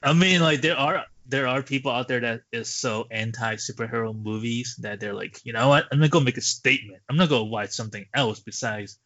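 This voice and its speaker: A man's voice: mid-range voice, a little fast, few pauses, sharp tone, no echo. A man co-hosting a movie podcast in a casual, conversational style. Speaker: podcast co-host. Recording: dull lo-fi podcast audio.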